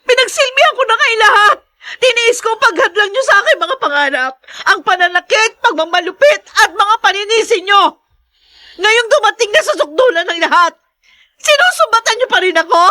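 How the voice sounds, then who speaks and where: A woman's voice: extremely high pitch, animated, normal speed, bright, forceful tone, no echo, exaggerated voice. A woman playing a wronged, long-suffering young daughter with a Filipino accent, tearful and defiant in a radio drama. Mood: tearful defiance.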